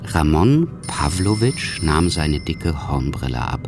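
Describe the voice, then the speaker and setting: An actor narrating a literary radio play in a sombre, measured, scripted manner. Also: male voice, rumbling low, big pitch swings, over music, heavily acted.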